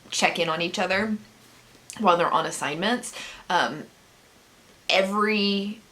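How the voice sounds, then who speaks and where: A woman's voice: deep voice, fairly bright tone. A woman chatting casually in a vlog as a book reviewer, giggly and breaking into laughter as she talks, with sarcastic asides. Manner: giggly, laughs through her sentences. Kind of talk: casual chat recounting a book's plot.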